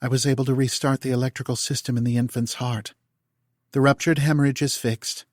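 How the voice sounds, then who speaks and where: A man voicing a calm, clinical female AI that speaks in precise, measured sentences. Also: medium pitch, no room sound, studio quality, voice pushed up, close to an everyday voice.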